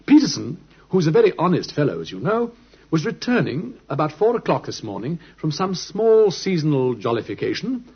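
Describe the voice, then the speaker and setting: An actor with a British accent playing a brisk, absorbed, genial gentleman detective in an old radio drama, speaking precisely and urbanely. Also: on the high side, heavily acted. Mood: absorbed storytelling. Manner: animated, precise, urbane storytelling. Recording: muffled, husky old radio recording.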